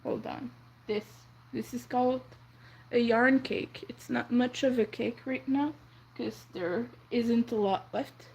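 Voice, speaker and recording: A young woman whose first language is French, chatting softly and rambling on a crochet livestream. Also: fairly high pitch, steady tone, takes it slowly, vocal fry, breathy edge, low-quality recording. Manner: soft-spoken, rambling, counts under her breath. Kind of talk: casual chat while crocheting.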